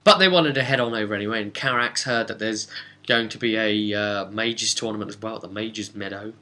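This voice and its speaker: Guy, bright punchy voice, chatty game master rambling thru a recap of his tabletop rpg session. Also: on the low side, brisk. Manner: chatty storyteller, slipping into quoted dialogue. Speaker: game master and vidcast host.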